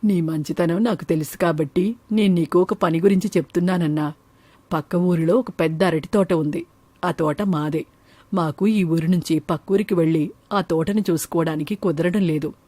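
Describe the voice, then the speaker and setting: A woman voicing a kind, practical village landowner woman in an animated moral story. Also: lowish voice, moderate pace, no echo, voice pushed down, natural voice. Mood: earnest. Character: kind, practical village woman who owns a banana grove.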